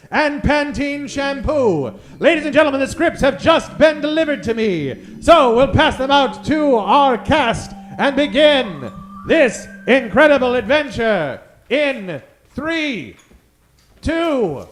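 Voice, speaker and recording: Male retro radio serial announcer, unhurried and dramatic, high voice over music. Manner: theatrical, dramatic pauses. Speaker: radio show announcer and narrator. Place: live stage performance.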